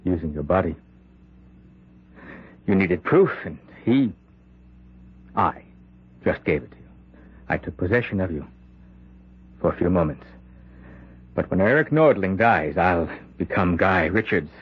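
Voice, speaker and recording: A man's voice: low voice, animated, a little slow, pauses often, slightly rough, lo-fi sound, full character voice. An actor playing a dying man possessed by a killer's spirit, speaking urgently and commandingly in an old-time radio drama. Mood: ominous.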